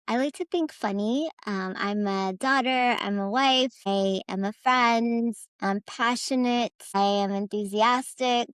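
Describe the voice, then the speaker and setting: A woman's voice: medium pitch, clear, resonant tone, no room sound. Earnest woman guest, dry close sound. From Iran, raised in the US. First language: Farsi. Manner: warm, earnest, many fillers and restarts. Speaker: podcast guest. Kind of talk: casual conversation.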